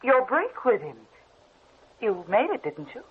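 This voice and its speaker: Earnest young sweetheart, tinny radio. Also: female voice, fairly high pitch, huge pitch swings, unhurried, husky, exaggerated voice. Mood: hopeful, relieved. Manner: earnest, emotional. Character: tender, anxious young woman in love. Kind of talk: old-time radio melodrama acting. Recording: tinny, hissy old radio recording.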